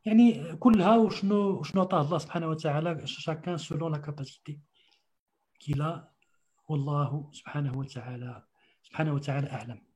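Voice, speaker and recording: Male religious teacher, earnest, emphatic repeats, slightly high voice. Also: racing, a little mellow. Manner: earnest, repeats words for emphasis, restarts often. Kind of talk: religious talk answering questions.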